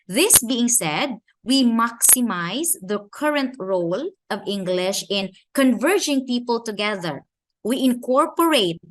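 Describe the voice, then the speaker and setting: A woman from the Philippines with a Filipino accent, giving an academic conference talk in a measured way and slowing down to stress key phrases and questions. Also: mid-range voice, slight background noise. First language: Filipino language. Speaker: conference speaker.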